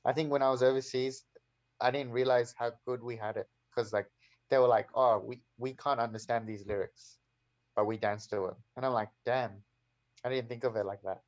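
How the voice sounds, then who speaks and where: A laid-back Australian man talks at a mid pitch on a panel, with his speech full of fillers. The stream audio is dull and lo-fi. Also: steady tone, moderate pace. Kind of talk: casual panel chat.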